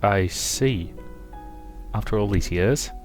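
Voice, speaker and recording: A man voicing a gentle, teasing young woman, a loyal friend in a visual novel, soft-spoken and measured. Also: on the low side, faint music behind. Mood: gentle, curious.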